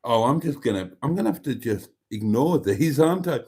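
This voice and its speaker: A man's voice: mid-range voice, lively intonation, a little fast, a little mellow. An older man from Wales, Welsh his first language, hosting a casual live stream, chatting in a rambling, wry way as he reads out viewer comments. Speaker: livestream host.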